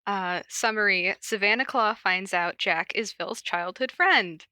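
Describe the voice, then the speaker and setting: A woman's voice: medium pitch, measured pace, bright, forceful tone, no room sound. A woman co-hosting a podcast in casual chat, giggly and teasing, sometimes reading aloud. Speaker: podcast co-host and fan fiction reader.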